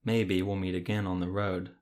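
A man voicing a weary, gruff monster hunter who speaks low and gently. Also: little pitch movement, normal speed, lightly acted. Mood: gentle.

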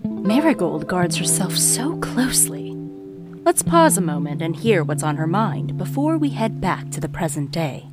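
An actress playing a narrator in an audio drama, addressing the listener in a confiding, theatrical way. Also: female voice, lowish voice, sing-song, normal speed, over loud music. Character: intimate female storyteller who addresses the listener directly.

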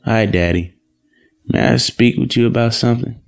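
A man's voice: mid-range voice, moderate pace, vocal fry, soft background music, lightly acted. A man playing an earnest, respectful young man in love in an audio drama, speaking politely and formally. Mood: respectful, hopeful.

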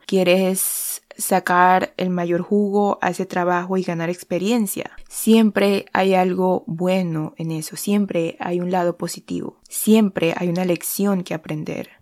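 A young woman speaks straight to her viewers in a calm, reflective vlog. Her pitch sits in the middle, and her delivery is earnest and thoughtful, easing off to linger on the ideas that matter to her. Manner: calm, earnest and thoughtful, slowing down on key points. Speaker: wellness vlogger. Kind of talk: casual reflective monologue.

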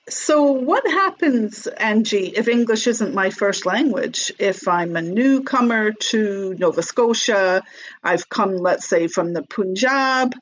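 A woman interviewer asking measured, clear questions. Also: mid-range voice, rough recording.

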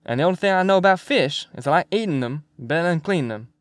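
A man's voice: a little high, lively intonation, quick pace, no room sound, clean studio sound, pitched above the performer's own voice, lightly acted. A man voicing a brash, cajoling ringleader in an audiobook, hearty and pushy with colloquial talk. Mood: hearty persuasion. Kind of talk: acted audiobook character dialogue.

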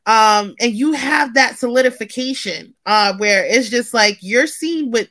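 A woman's voice: fairly high pitch, a little slow, a bit bright. A woman hosting a casual interview, talking in an effusive, gushing way and heaping praise on her guest. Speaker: host.

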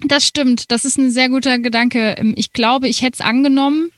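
A woman's voice: sits high, normal speed, dry recording, studio quality. A woman in her twenties, a musician being interviewed, talking casually with frequent laughs and fillers. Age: 20s. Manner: animated, laughs often, many fillers. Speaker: musician guest.

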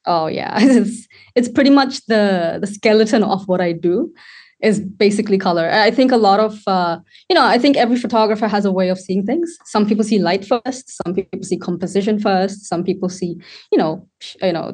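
A young woman from Sri Lanka, a wedding photographer, talking casually as a guest and pausing often to find her words. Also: mid-range voice, darkish tone. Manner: thoughtful, searching for words with many fillers. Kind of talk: casual podcast conversation.

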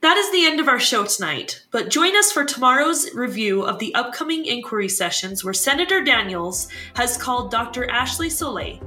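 A woman playing a polished, inquisitive talk-show host in a fiction podcast, with a crisp presenter's delivery. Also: on the high side, very animated, moderate pace, hardly any pauses, pushed and bright, echoey room, soft background music. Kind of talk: fiction podcast acting as broadcast interviewer.

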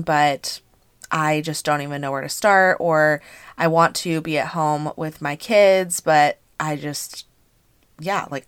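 Hoarse, congested woman chatting casually. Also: low-pitched, no echo. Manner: chatty, friendly, frequent "um" and "like". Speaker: podcast host and business coach. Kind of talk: casual solo podcast chat.

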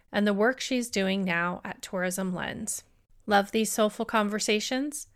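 A woman hosting a travel podcast, soft-spoken and earnest, reading an intro and then asking questions with a few ums. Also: mid-range voice. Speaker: podcast host. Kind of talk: podcast interview.

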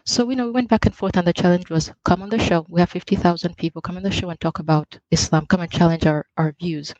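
A woman's voice: lowish voice, fairly even intonation, fast talker, darkish tone, no echo. A woman from Uganda calling in to a live online show, explaining her own project. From Uganda, East Africa. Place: online video call. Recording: dull, lo-fi online call.

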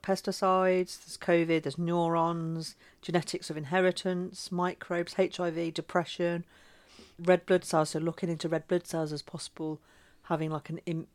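A woman with a notably low voice co-hosts an educational podcast. She moves from reading revision notes to loose, informal chat. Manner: chatty, informal, reads notes then riffs. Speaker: podcast co-host.

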